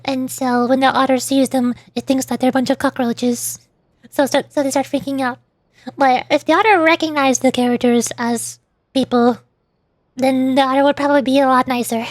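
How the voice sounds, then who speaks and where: High-pitched woman chatting on a livestream. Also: steady tone, normal speed, dry recording, studio quality. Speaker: streamer and VTuber. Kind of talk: casual livestream chat, reacting and rambling about games.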